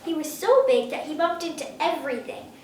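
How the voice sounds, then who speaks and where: A woman's voice: sits high, expressive, fairly quick. A ten-year-old girl reading a children's storybook aloud. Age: child, ten years old. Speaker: story reader. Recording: very echoey room, distant mic, faint noise.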